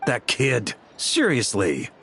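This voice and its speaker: An actor playing a confident, wry knight commander in a video game, speaking with assurance. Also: male voice, mid-range voice, wildly swooping pitch, slow pace, vocal fry, fairly bright tone, slight background noise, heavily acted. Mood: commanding. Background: game music.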